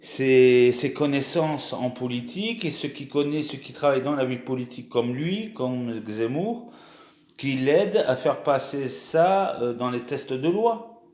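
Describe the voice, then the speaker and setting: A man's voice: mid-range voice, lively intonation, measured pace, a little mellow, echoey room. A young man from France talking casually to his viewers in a vlog, hesitant, with many restarts and fillers. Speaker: YouTuber.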